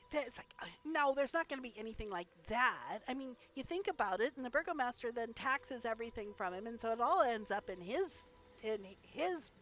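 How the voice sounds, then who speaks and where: A woman's voice: on the high side, a bit bright, dull audio. A woman voicing a gossipy common villager who rambles, scoffs and trails off mid-sentence.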